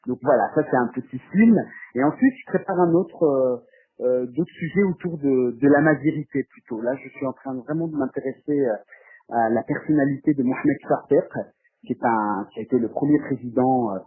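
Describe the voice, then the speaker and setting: Guy doing interview answers, raspy-ish voice, keeps stalling and repeating words, sounds like its coming down a phone line. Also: medium pitch. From France. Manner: halting, hesitant, frequently repeats words while searching for phrasing. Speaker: documentary filmmaker being interviewed. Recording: muffled, phone-like narrow band.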